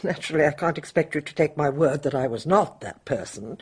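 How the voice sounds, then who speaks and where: A woman's voice: low-pitched, animated, a little fast, husky, full character voice. An actress playing a composed, dignified older woman in a radio drama, formal and controlled. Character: composed, dignified older female murder suspect.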